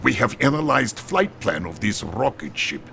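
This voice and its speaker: Game role: theatrical Russian-accented premier, gravelly edge, swooping delivery, bombastic and slow, music behind. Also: male voice, sing-song, bright, forceful tone, a little hiss or hum, full character voice. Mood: confiding, grave. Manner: bombastic, broken English, swings from booming to confiding. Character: boisterous, theatrical male Soviet premier, jovial and scheming. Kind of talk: video game cutscene acting.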